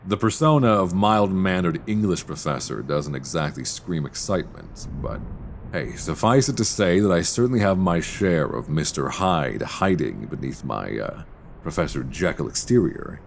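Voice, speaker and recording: A man playing a charming, teasing English professor in an intimate roleplay audio drama, talking with soft hesitations in a deep, gravelly voice. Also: expressive, moderate pace, slight background noise. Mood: playful. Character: charming, teasing male English professor, mild-mannered but flirtatious. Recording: binaural recording with faint background ambience.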